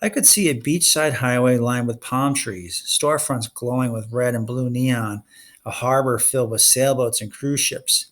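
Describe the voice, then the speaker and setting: A man with a creaky voice reading a novel aloud as a read-along narrator, expressive with the dialogue. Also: mid-range voice, normal speed. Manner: expressive reader who acts out the dialogue.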